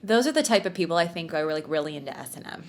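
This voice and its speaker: A woman's voice: sits low, expressive, very fast, slight background noise. A woman co-hosting a podcast in casual, comedic chat with a playful, bawdy way of joking. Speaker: podcast co-host.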